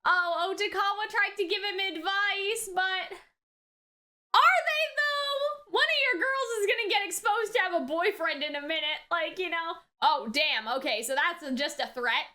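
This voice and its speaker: A woman giving casual, excited reaction commentary as a video host, expressive and slangy in the way she talks. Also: very high voice, moderate pace. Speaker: host of an anime reaction channel.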